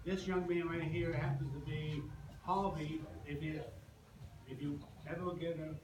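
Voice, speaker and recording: Older new man, rambling and joking, slightly high voice, echoey hall, far mic. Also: little pitch movement. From Brooklyn, New York. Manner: rambling, joking, halting with restarts. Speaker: business owner hosting a presentation. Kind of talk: casual talk to a live audience. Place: large echoey room with an audience. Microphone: far from the recording mic. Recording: echoey room, distant mic, noisy.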